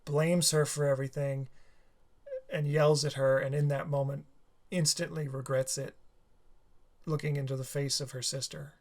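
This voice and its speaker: A tired man with a slightly sore throat, talking through a reaction video in a halting, rambling way with many restarts. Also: on the high side, level tone, darkish tone. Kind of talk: casual rambling commentary.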